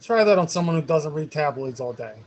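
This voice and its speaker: A man acts a put-upon security guard in a drama read. His voice sits a little high, and he comes across as dutiful and long-suffering. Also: animated, fairly quick, a little mellow. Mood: wary, exasperated.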